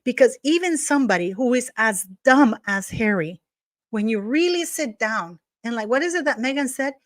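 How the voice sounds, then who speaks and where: A woman with a non-native English accent, pitched a little high, gossips and scolds in a casual rant. The sound is dry and close, with no room echo around her voice. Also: animated, normal speed. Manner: scornful, gossipy, emphatic, drops curse words. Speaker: YouTube commentator. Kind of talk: casual ranting commentary. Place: at home.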